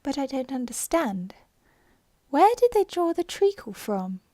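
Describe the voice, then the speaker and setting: A woman's voice: high voice, very animated, unhurried, dark tone, dry recording, studio quality, voice pushed up. A woman doing a polite, curious young girl. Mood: earnest. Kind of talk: storybook character voice.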